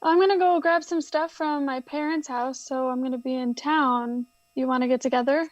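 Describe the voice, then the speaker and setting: A woman acting as a young married daughter in a radio drama, subdued and weary. Her voice is high and natural, on a dry, slightly dull recording. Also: steady tone, moderate pace, dry recording, close to an everyday voice. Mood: casual.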